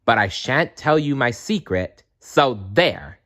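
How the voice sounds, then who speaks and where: A tiny, excitable storybook elf girl, acted out by a man, speaks in a raised, swooping voice. She is earnest and emphatic, quick to get cross. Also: medium pitch, voice pushed up. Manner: earnest, wide-eyed, emphatic.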